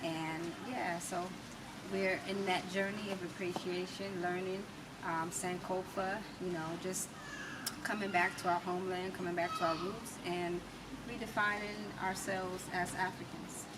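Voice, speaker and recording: A woman's voice: fairly low pitch, little pitch movement, a little slow. American woman, slightly distant, noisy setting. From California, United States. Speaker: interview guest. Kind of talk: conversational, explaining personal views and experience. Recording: distant mic with clear background noise.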